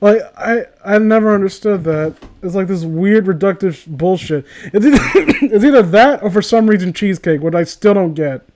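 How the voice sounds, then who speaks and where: High-voiced sarcastic man riffing casually. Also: expressive, normal speed, hardly any pauses, darkish tone, slight background noise. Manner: sarcastic, profane. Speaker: co-host reading and mocking fan fiction. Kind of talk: casual comedic riffing and read-aloud.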